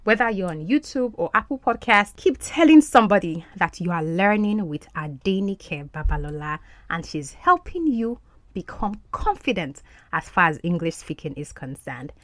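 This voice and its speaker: A woman whose first language is Yoruba, hosting a podcast as an English language coach and speaking in an animated, encouraging way. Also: lowish voice, moderate pace, no room sound. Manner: animated, encouraging teacher's delivery.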